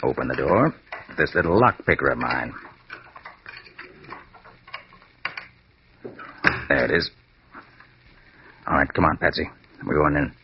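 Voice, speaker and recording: An actor playing a confident, shrewd master detective in an old radio drama, speaking with brisk authority. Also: male voice, very deep voice, animated. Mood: shrewd, assured. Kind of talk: 1940s-50s radio drama acting. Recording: muffled, noisy vintage radio recording.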